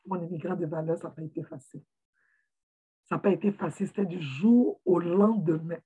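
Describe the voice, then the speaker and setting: A woman's voice: on the low side, a little fast, warm tone, husky. A woman giving a heartfelt motivational talk to her viewers, earnest and often repeating words as she searches for the next one. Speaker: motivational vlogger for immigrants. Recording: tinny phone-like sound.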